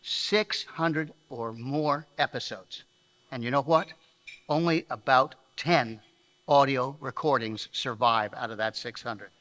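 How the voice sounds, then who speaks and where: A man's voice: medium pitch, sharp tone. A man presenting a live show to an audience as a member of a radio troupe, with emphatic, showman-like phrasing. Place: live stage at a banquet hall. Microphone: stage microphone. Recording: reverberant hall sound.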